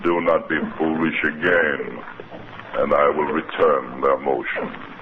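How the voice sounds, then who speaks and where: An actor playing a cold, domineering alien from Venus in a 1950s radio drama, commanding and deliberate. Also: male voice, rumbling low, steady tone. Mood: coldly explaining. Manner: commanding, slow, deliberate, hypnotic. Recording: muffled, noisy 1950s radio recording.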